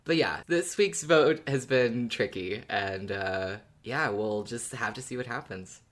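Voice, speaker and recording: A woman with a remarkably deep voice co-hosts a casual podcast. She talks in a dry way, wryly teasing as she chats. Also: animated, unhurried. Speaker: podcast co-host. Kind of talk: casual chat.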